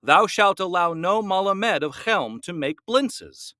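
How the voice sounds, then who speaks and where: A man voicing a gentle village rabbi in a storybook, speaking solemnly and proclaiming rules. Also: high-pitched, expressive, takes it slowly, dry recording, clean studio sound, voice pushed up, heavily acted.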